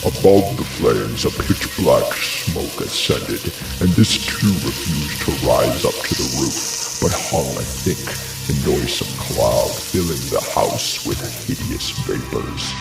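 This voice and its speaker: An actor playing a grave messenger in a classical tragedy, declaiming horrors in portentous, deliberate verse with a gravelly voice. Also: male voice, rumbling low, expressive, exaggerated voice. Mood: grave, horrified. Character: grave male messenger recounting a horrific crime. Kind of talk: solemn theatrical verse declamation. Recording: roomy, distant voice under music.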